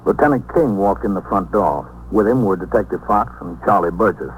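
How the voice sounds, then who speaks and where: Low-voiced, matter-of-fact American police captain played by an actor. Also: male voice, natural voice. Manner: matter-of-fact, official, measured. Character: sober police captain narrating his case. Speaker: narrator. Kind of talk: 1950s radio police procedural narration. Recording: noisy 1950s radio recording.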